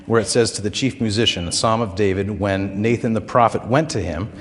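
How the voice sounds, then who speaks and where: A man preaching a sermon as pastor, his throat still a bit hoarse, telling stories in a relaxed, conversational way with frequent fillers. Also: on the low side, fairly quick, big-room sound. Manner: conversational, folksy storytelling with filler words. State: recovering throat, slightly hoarse.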